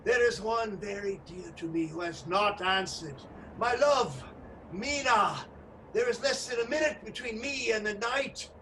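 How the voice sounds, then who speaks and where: A commanding vampire lord of a radio drama, chanting his summons in slow, theatrical declamation. The voice is pitched high and sits far from the mic in a noisy recording. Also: sharp tone. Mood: commanding, yearning. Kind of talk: radio play acting. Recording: distant mic, clearly noisy background.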